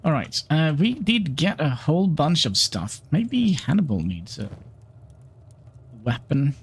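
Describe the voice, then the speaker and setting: Slow-talking man streaming a game. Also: on the high side, big pitch swings, husky. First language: Norwegian. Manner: unhurried, reads game dialogue aloud, chats with viewers. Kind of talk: livestream gameplay commentary.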